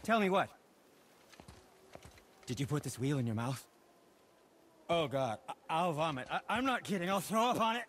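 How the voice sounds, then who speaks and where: A man's voice: fairly high pitch, animated, normal speed, long pauses, fairly bright tone, a little hiss or hum. A man playing a squeamish, easily wounded dwarf craftsman in a video game, with a fussy, stammering delivery. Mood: breezy, curious, then disgusted. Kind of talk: cinematic video game acting.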